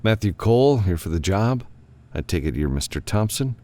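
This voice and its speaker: A man voicing a gruff, reluctant freelance spaceship pilot in an audiobook, terse and grudging. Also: fairly low pitch, animated, moderate pace, warm tone, pitched above the performer's own voice, close to an everyday voice. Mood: businesslike. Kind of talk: audiobook character dialogue.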